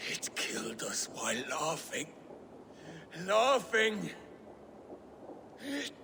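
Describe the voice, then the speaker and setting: Dying Norse war leader, proud, gasping, very slow, fairly high-pitched, game drama. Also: male voice, vocal fry, bright, forceful tone, slight background noise, heavily acted. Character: mortally wounded, proud Norse raider war-band leader. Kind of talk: dramatic video game acting.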